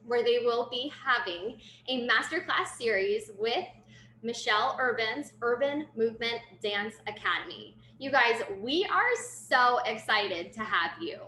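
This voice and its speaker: A woman's voice: on the high side, animated, unhurried, sharp tone. A woman interviewing two dancers, enthusiastic and full of praise. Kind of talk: friendly interview questions. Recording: echoey room with faint noise.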